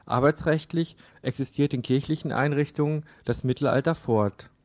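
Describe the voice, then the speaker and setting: A man's voice: mid-range voice, a little mellow, dry recording. A man reading a scripted radio report as presenter, in a formal manner. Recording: slightly dull lo-fi radio recording.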